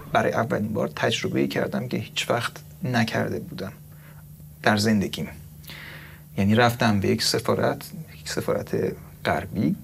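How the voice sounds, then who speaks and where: A man telling his story in a documentary interview, in a rapid, matter-of-fact way. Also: medium pitch, creaky, slight background noise.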